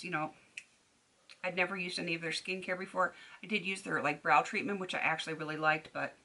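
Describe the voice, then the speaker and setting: Woman in her 30s, casual beauty vlogger, bright tone with a slightly low pitch, rambling delivery. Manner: chatty and rambling, many ums and restarts. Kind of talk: casual chat to camera. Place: bedroom at home. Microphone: camera at desk distance.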